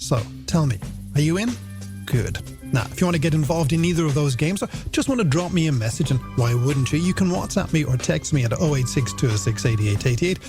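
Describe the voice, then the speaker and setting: A man's voice: on the high side, expressive, brisk. A middle-aged man with an Irish accent presenting a chatty late-night radio show in a playful, self-deprecating way, talking to listeners as friends. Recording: radio broadcast with music bed underneath. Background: music.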